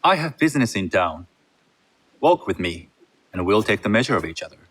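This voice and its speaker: A shrewd feudal japan merchant heir, lowish voice, measured and formal, game cutscene. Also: male voice, very animated, a bit bright, husky, full character voice. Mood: measured, businesslike. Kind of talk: video game cutscene acting.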